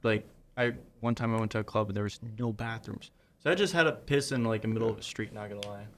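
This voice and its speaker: A man's voice: lowish voice, quick pace. A young American man chatting casually in blunt, slangy bro talk. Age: young adult. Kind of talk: casual chat.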